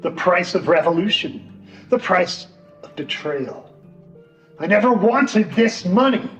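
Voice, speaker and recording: Casting: a man to play a guilt-ridden, bitter betrayer for Passion drama; high-pitched, anguished, very unhurried. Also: heavily acted. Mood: bitter remorse. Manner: slow, dramatic, anguished delivery. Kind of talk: church Passion play monologue. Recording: echoey church hall, dull sound, music.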